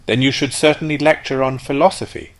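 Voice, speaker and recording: A man's voice: medium pitch, level tone, brisk, dry recording, pitched above the performer's own voice, lightly acted. A man voicing a male dragonfly who speaks in a curt, dismissive way with a raised voice.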